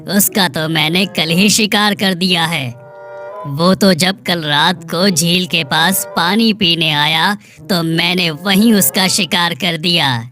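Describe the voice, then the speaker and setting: A woman's voice: lowish voice, takes it slowly, sharp tone, faint music behind. A woman voicing a stern, vengeful leopard king of the jungle in a cartoon moral story, speaking with a commanding manner. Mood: puzzled.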